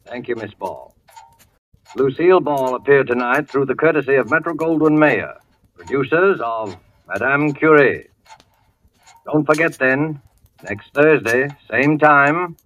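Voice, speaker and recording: A man announces formally in old-time radio style, speaking slowly at a medium pitch. The vintage recording is dull. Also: animated, fairly bright tone. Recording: dull old radio broadcast, faint music.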